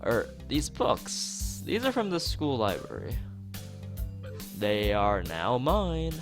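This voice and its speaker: A man's voice: mid-range voice, huge pitch swings, takes it slowly, full character voice. A man voicing a paranoid, ranting male student who hoards books, reading visual novel dialogue aloud over music. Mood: paranoid, defiant. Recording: music playing behind the voice.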